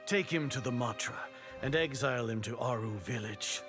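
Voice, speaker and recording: A scheming older grand sage from a video game, acted by a man. He speaks formally and pompously, with measured haughty authority and a mid-range male pitch, over clear background music. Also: natural voice. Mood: coldly commanding. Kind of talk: video game villain acting.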